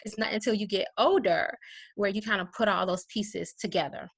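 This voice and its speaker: Woman in her forties, interview guest on a video call, chatty with lots of fillers, gravelly fry. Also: mid-range voice, fairly quick. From Chicago, USA. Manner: chatty, many fillers, laughs easily. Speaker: guest, educator.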